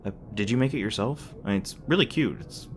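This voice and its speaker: A man's voice: fairly low pitch, fairly quick, slight background noise, close to an everyday voice. A man playing a young demon boyfriend in an ASMR roleplay, speaking casually with teasing jokes, sweetness and hesitant asides. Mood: cozy, affectionate.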